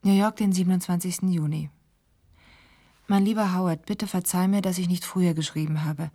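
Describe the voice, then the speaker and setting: Radio play letter voice: apologetic young fiancée, lowish, mellow, hushed. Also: female voice, steady tone, a little fast. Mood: apologetic, subdued. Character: apologetic, troubled fiancée reading her letter.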